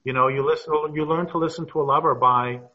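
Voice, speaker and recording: A man's voice: mid-range voice, fairly even intonation, fast. A man speaking thoughtfully as a panel guest on a video call, telling stories unhurriedly. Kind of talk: reflective talk on an online call. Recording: muffled video call audio.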